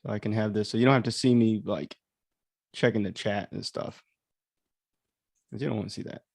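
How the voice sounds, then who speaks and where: Guy chatting on a livestream, kinda rambly and easily amused, creaky voice, dry close sound. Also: on the low side, steady tone, a little fast, darkish tone, no echo. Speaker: livestream host, audio drama author. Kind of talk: casual livestream chat and reaction commentary.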